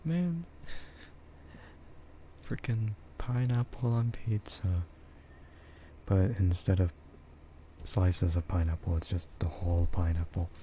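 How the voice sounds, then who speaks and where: A man chatting casually and soft-spoken with his livestream viewers, rambling in a relaxed way. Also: sits low, takes it slowly, pauses often, lo-fi sound, a little hiss or hum. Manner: relaxed, soft-spoken ASMR yapping, often chuckling. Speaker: streamer, VTuber host.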